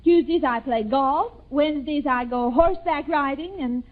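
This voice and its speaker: An actress playing a coy, flirtatious American secretary in a 1940s radio comedy. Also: female voice, high-pitched, normal speed, close to an everyday voice. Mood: coy flirting. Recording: thin phone-like old radio recording.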